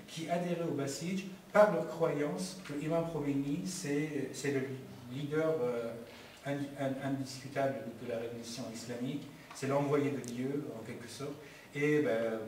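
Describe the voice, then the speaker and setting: A man from Iran whose first language is Persian, speaking at a public film debate, halting and full of restarts and fillers. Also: mid-range voice, fairly even intonation, a little mellow. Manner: hesitant, many restarts and fillers. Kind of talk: halting public talk, answering questions. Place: community meeting hall. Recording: very echoey hall, far from mic, faint noise.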